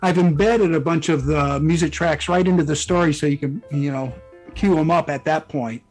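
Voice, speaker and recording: A man being interviewed, chatting casually as a guest and explaining things in a halting, self-interrupting way. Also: a little high, a little mellow, poor audio. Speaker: interview guest, fan fiction author and musician. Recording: music playing behind the voice. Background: music.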